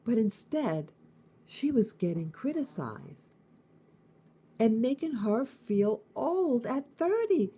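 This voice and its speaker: A woman in her fifties from the United States, hosting a casual morning reflection, thinking aloud with halting restarts and repeated words. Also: a little high, very animated, dark tone, breathy edge. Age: 50s. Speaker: host.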